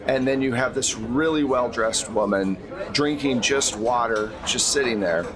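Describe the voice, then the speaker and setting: A man's voice: mid-range voice, measured pace, a crowd in the background. A man acting as game master, narrating a tabletop role-playing game in an informal, conversational way.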